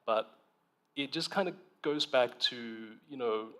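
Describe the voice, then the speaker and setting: A man's voice: mid-range voice, a little slow, a bit bright. A man speaking as an author on a festival panel, thoughtful and reflective, with frequent ums and restarts.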